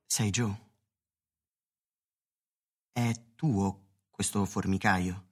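An actor playing an inquisitive, grave census taker in an Italian radio drama, with a husky voice. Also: deep voice, fairly even intonation, very slow, full character voice. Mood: probing. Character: Grant, inquisitive census taker roaming the hills, grave and puzzled.

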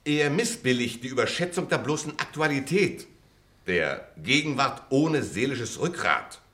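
A man's voice: mid-range voice, very animated, a little slow, fairly bright tone. An actor playing an earnest middle-aged man who argues intellectual points with insistence in an acted drama. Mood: insistent. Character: earnest, argumentative middle-aged former pupil. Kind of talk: acted radio-play dialogue.

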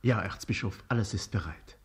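A man's voice: fairly low pitch, moderate pace, lightly acted. An actor playing a sly church henchman in a radio play, speaking like a conspirator. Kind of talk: German radio play acting. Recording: echoey radio drama room.

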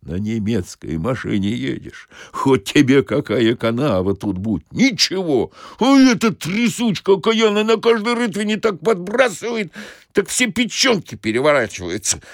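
A man's voice: fairly high pitch, dry recording, clean studio sound. A man voicing an aggrieved bus driver in a comic audio story, grumbling and cursing in folksy colloquial speech. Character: aggrieved, cursing bus driver, sentimental about his old machine.

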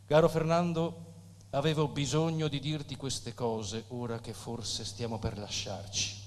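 An actor playing a tormented, nihilistic poet who confesses with solemn emphasis and dramatic pauses in a theatrical style. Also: male voice, mid-range voice, steady tone, lightly acted. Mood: resigned farewell. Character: tormented, nihilistic male poet Alvaro de Campos, passionately confessing. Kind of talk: theatrical stage acting. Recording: reverberant hall with faint hum.

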